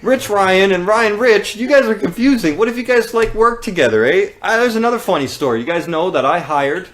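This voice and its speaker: A man's voice: sits high, lively intonation, fairly quick, a bit bright. A man with a Canadian accent, rambling and joking as he hosts a live giveaway. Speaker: business owner hosting a live giveaway. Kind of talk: casual livestream chat.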